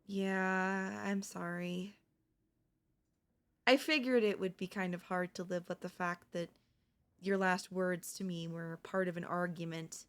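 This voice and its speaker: A woman's voice: fairly low pitch, fairly even intonation, measured pace, a bit bright. A woman voicing a cute, affectionate young ghost girl in an intimate ASMR audio roleplay, casually frank and hesitant. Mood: regretful. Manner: casual, hesitant, matter-of-fact about grim things. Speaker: voice actor.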